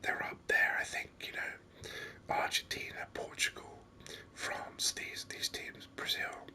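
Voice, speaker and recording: Whispering ASMR gaming commentator. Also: takes it slowly. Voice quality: breathy unvoiced whisper. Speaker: gaming video host.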